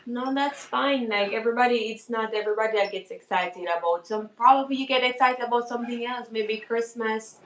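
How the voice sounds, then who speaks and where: Echoey room, young lady podcaster just chatting, playful and emphatic, kinda high voice. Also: a little fast, few pauses. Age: young adult. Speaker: podcast co-host. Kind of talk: casual chat.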